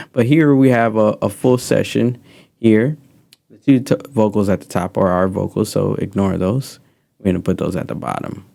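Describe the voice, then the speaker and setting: Low gravelly man teaching casually. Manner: relaxed, rambling with fillers. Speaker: music mentor.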